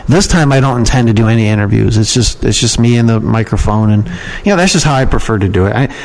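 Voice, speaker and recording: A man's voice: fairly low pitch, quick pace, vocal fry, a little mellow, low-quality recording. A man giving casual, rambling and frank answers as an opinionated guest in an interview. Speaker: podcast guest, email marketer and copywriting trainer.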